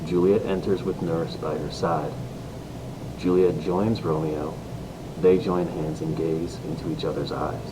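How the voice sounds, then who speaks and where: A man's voice: steady tone, a little slow. A man reading stage directions as the plain narrator of an amateur play. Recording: distant mic, clear rumble and noise.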